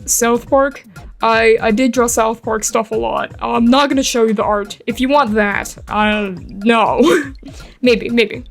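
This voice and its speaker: Young female art YouTuber, American accent, fairly high voice with vocal fry, chatty and self-deprecating over background music. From England and Eastern Europe, later New York. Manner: rambling, self-deprecating, giggly. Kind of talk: casual chatty speedpaint commentary.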